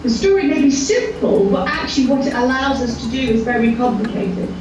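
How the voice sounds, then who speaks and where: A woman's voice: sits high, lively intonation, brisk. A woman talking enthusiastically about learning across languages. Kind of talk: interview answer. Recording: distant, roomy, muffled, noisy.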